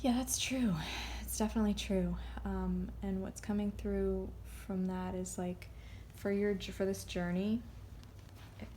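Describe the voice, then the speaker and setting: A woman giving a casual, unscripted card reading, rambling and halting as she drifts off on tangents. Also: mid-range voice, fairly even intonation, dark tone, slight background noise. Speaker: tarot card reader.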